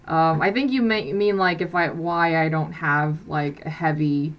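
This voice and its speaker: A woman's voice: sits low, unhurried. A young woman from South Korea, a native Korean speaker, chatting casually on a live stream from her bedroom, rambling with many fillers and restarts. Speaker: livestream host. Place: bedroom with blackout curtain.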